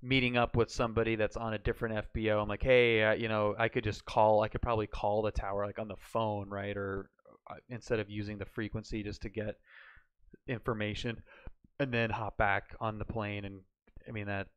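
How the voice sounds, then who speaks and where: A man's voice: on the low side, little pitch movement, brisk, clean tone, muffled recording. A man chatting casually on a live stream, talking through hypothetical situations as he works them out. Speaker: flight sim streamer learning radio procedures.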